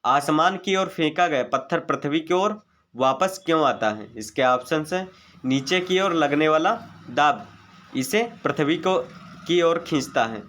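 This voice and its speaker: A man's voice: fairly high pitch, lively intonation, unhurried, a bit bright. A man teaching an exam-prep lesson, methodically reading out multiple-choice questions, their options and the right answers. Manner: methodical, formulaic delivery.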